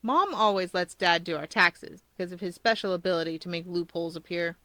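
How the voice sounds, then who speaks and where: A woman's voice: fairly low pitch, brisk, sharp tone, no room sound. A woman voicing a skeptical, breezy teenage schoolboy with a teasing, dismissive way of talking in a fan fiction reading. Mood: amused. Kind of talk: fan fiction audiobook character voice.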